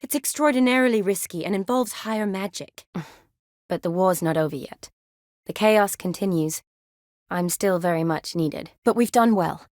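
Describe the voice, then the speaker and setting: Actress as a spirited young medic, dry close sound. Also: female voice, mid-range voice, normal speed, darkish tone, dry recording, lightly acted. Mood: earnest. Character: kind, spirited young woman medic, teasing and wistful. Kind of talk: video game dialogue acting.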